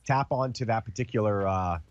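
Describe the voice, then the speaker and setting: A man's voice: lowish voice, fairly quick, dull audio. A man with a cold, sounding congested, hosting a casual product demo and explaining with everyday comparisons. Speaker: TV host demonstrating a product.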